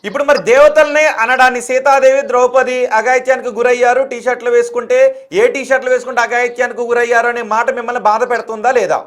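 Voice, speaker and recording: A man's voice: very high-pitched, flat delivery, fast, a bit bright. A male news anchor in a heated on-air debate, confrontational and insistent, hammering questions home by repeating them. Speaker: news anchor interviewing a caller.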